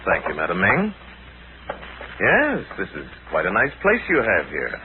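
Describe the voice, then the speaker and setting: An old, narrow, hissy radio recording of a pulp hero detective. His American voice is low and lively in inflection, calm, commanding and polite as he probes. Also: full character voice. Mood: composed, wry. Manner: measured, polite, firm questioning. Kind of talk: 1940s radio acting. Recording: band-limited, hissy 1940s radio recording.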